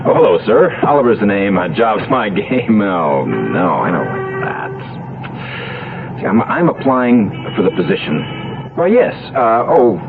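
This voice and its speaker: An actor playing a nervous, hapless ex-con husband in a radio comedy drama, stammering and easily exasperated. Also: male voice, on the low side, wildly swooping pitch, slow pace, music in the background, heavily acted. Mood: nervous rehearsing. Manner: stammering, hesitant, flustered. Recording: noisy, tinny old radio broadcast.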